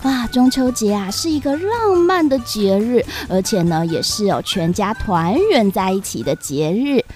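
A woman with a Taiwanese Mandarin accent, hosting a children's story show in a playful, sing-song way with drawn-out particles. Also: mid-range voice, lively intonation, darkish tone, faint music behind. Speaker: storyteller host.

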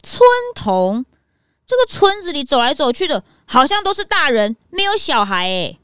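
Lady doing a super high curious little sidekick, cheeky, bouncy intonation, kids mystery play. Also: big pitch swings, moderate pace, sharp tone, clear, resonant tone, no room sound, studio quality, exaggerated voice. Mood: puzzled, curious. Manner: chatty, cheeky, exclamatory. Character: young, chirpy, inquisitive detective's sidekick. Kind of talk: children's audio detective drama.

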